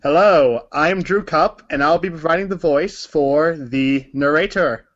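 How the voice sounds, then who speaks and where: A man's voice: fairly high pitch, measured pace. A man reading scripted narration for a read-along story performance, in a dull recording.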